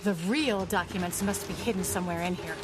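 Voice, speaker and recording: A woman playing a shrewd, defiant amateur sleuth in an audio drama, brisk and skeptical. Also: on the low side, background noise, natural voice. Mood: urgent, wary.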